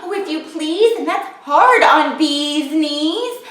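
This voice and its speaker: A woman's voice: sits high, expressive, slow, a bit bright, heavily acted. A woman voicing frightened little birds and bees in a storybook, pleading and exclaiming in rhyme. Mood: alarmed, pleading. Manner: exclamatory rhyming pleas. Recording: very echoey room.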